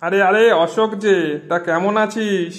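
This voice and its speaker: A man's voice: sits high, steady tone, normal speed, soft background music, natural voice. A man voicing a pushy, scornful village schemer who persuades and sows distrust in a moral-story cartoon. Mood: familiar greeting. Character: scheming older village acquaintance sowing distrust between friends.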